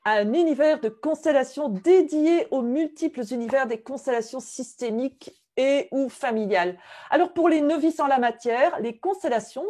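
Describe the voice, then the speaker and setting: Upbeat woman host, slightly high voice, laughs between questions, video call. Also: dark tone. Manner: upbeat, with frequent laughs and hesitations. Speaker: interview host. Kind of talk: warm video interview.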